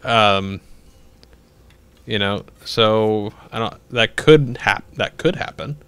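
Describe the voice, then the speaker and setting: A man's voice: fairly low pitch, takes it slowly, a bit bright, clear, resonant tone. A young man hosting a livestream, chatting casually as he answers viewer questions, rambling with lots of fillers and restarts and laughing easily. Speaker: livestream host.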